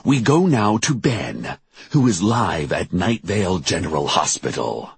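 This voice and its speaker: A man's voice: low-pitched, big pitch swings, measured pace, creaky, slightly rough, no room sound, exaggerated voice. A man voicing a solemn male local TV news anchor with a formal broadcast cadence in an audiobook. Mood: solemn, self-important.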